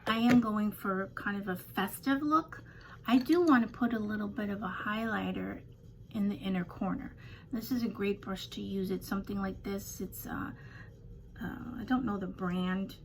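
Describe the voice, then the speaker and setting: Older woman, slightly raspy, rambling casual tutorial talk, faint background hiss. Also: medium pitch, measured pace. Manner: chatty, rambling, self-deprecating asides. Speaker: beauty vlogger.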